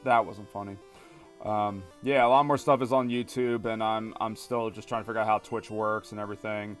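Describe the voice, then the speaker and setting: A man's voice: on the low side, little pitch movement, a little fast. Chatty man streaming a game. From United States. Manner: rambling, talkative, many fillers, reads chat aloud and jokes. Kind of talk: casual live-stream chat while gaming. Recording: music playing behind.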